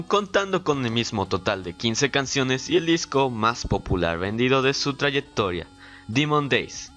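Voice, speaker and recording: A man's voice: medium pitch, a bit bright. A man co-narrating a scripted music trivia video as a guest.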